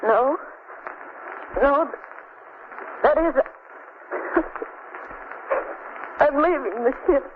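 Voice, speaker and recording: Distraught, high-voiced young woman. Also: drawn-out words, long pauses. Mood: anxious, sad. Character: anxious, distraught young woman searching for missing fiancé. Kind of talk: 1940s radio melodrama acting. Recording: tinny, noisy old radio recording.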